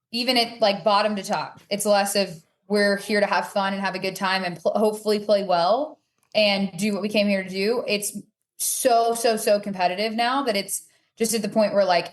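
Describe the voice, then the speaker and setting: A woman chatting casually and candidly as a guest on a sports podcast. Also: medium pitch. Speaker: podcast guest, competitive player. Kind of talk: casual chat.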